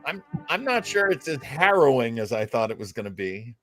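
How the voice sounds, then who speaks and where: A man's voice: medium pitch, very animated, fairly quick, fairly bright tone, soft background music. A man hosting a casual discussion show, talking in a wry, dryly joking way. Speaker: talk show host. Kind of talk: casual discussion of old radio.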